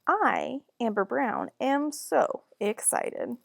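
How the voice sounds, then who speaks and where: Animated, slow-paced woman reading a storybook. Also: a little high, vocal fry, no room sound, studio quality. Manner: deliberate, animated. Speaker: children's book reader. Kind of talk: expressive storybook narration.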